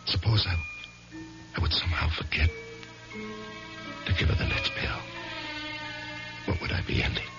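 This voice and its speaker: An actor playing a weary, guilt-torn husband in a brooding, hushed 1970s radio mystery style. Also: male voice, low voice, normal speed, creaky, long pauses. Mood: brooding, tormented. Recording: dull old radio recording with music.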